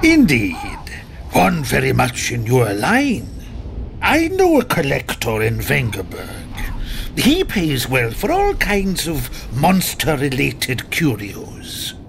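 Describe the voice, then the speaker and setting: An actor voicing an excitable, shifty trader in monster curios in a video game, theatrical and evasive. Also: male voice, medium pitch, slow pace, vocal fry, slight background noise, heavily acted. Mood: sly, businesslike.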